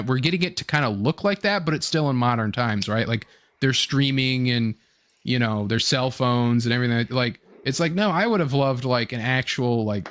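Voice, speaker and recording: Young adult man, middling pitch, casual show host, rambling and halting delivery, fan commentary. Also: a bit bright. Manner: rambling, halting, fragmented phrasing.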